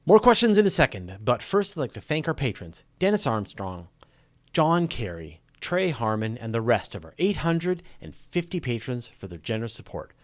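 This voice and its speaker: A man's voice: mid-range voice, very animated, normal speed, no room sound. A man hosting a casual live question-and-answer show, talking in an informal, joking, conversational way. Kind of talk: casual live Q&A chat with viewers.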